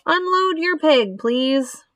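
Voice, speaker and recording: A pompous fairground loudspeaker announcer played by a woman reading a storybook, pitched high and booming. The delivery is grandly formal and declamatory, with every phrase proclaimed ceremoniously. The sound is close and dry. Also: expressive, slow, no echo, exaggerated voice. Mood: commanding.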